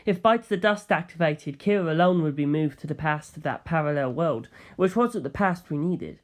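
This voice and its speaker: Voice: medium pitch, animated, normal speed. A narrator reading a scripted story in the first person.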